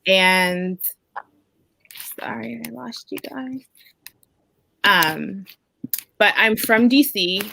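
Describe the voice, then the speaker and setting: A young woman from Washington DC, born in Jamaica, speaking casually and haltingly as a nurse on a panel. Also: medium pitch, gravelly, pushed and bright, poor audio. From born in Jamaica, raised in Washington DC. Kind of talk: casual panel introduction on a video call.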